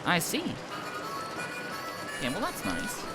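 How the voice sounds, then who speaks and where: A man's voice: a little high, wildly swooping pitch, takes it slowly, over music. A man streaming a game, reading its dialogue aloud in a wry, playful way.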